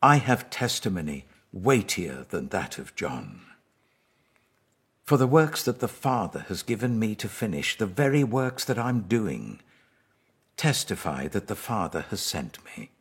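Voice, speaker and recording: A man acting out a grave, commanding holy teacher in a scripture reading, with a very deep, husky British voice. He speaks slowly and deliberately, with solemn authority. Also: a bit bright, heavily acted.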